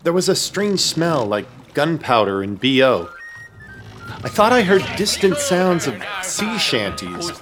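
A man's voice: a little high, very animated, unhurried, fairly bright tone, soft background music. A man narrating a horror comedy radio play in the first person, wry and storytelling.

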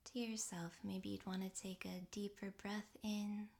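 A woman guiding a relaxation meditation in a soft, breathy, half-whispered voice, gently and with long pauses. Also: medium pitch, little pitch movement. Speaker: yoga nidra meditation guide.

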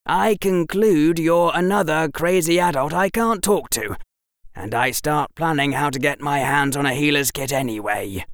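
A man's voice: on the high side, gravelly, no room sound, studio quality, pitched above the performer's own voice, exaggerated voice. A man voicing a precocious, stubborn young boy wizard in an audiobook, earnest and articulate.

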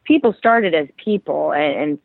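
A woman's voice: sits low, lively intonation, normal speed, vocal fry, slightly rough, dry recording. A woman author and journalist in her thirties, originally from Ohio, talking through her book in a thoughtful way, often restarting her sentences. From Ohio, Cleveland area. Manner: thoughtful, many restarts and fillers. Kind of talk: conversational interview. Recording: dry tinny phone-line sound.

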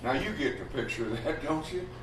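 A man teaching a Bible class in a loud, emphatic preaching voice, often asking his listeners rhetorical questions. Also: fairly high pitch, animated, brisk, distant mic. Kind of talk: Bible study sermon. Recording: roomy hall, mic from afar, faint noise.

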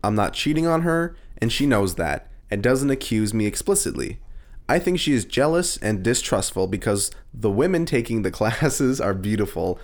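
Male co-host, slangy and joking, reads letters and banters with lively, swinging intonation. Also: fairly low pitch, moderate pace. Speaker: podcast co-host reading advice column letters. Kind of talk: casual banter and reading letters aloud.

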